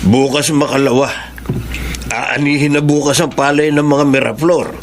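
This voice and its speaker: A man playing an easygoing middle-aged farmer father with a gravelly voice in a Filipino radio drama. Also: medium pitch, steady tone, normal speed, a little hiss or hum, natural voice. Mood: reassuring.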